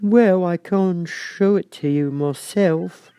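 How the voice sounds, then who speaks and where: A man voicing a mournful, self-pitying old turtle creature in a storybook reading, with drawn-out, sighing, doleful delivery. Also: sits high, warm tone, clean tone, no room sound, voice pushed up. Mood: wistful. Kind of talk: storybook character voice.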